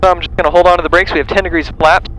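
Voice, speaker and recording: A man's voice: sits high, fairly even intonation, racing, fairly bright tone, poor audio. A young adult man speaking as a pilot in a clipped, procedural way, narrating and making brief calls on a headset mic. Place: cockpit of a small propeller plane in flight. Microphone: aviation headset boom mic. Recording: engine noise in small plane cockpit.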